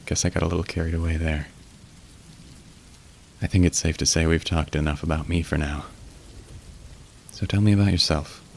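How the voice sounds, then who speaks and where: A man playing a weary, tender young demon in an intimate ASMR roleplay, speaking softly and hesitantly with gentle wry humor. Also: low-pitched, gravelly, a little hiss or hum. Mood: sympathetic, gentle. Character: weary, tender young male demon working a phone line. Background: rain and thunder.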